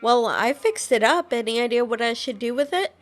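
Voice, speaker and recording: A woman's voice: a little high, lively intonation, brisk, soft background music. A young woman voicing her game character, asking questions hesitantly with fillers. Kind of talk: casual game voice-acting.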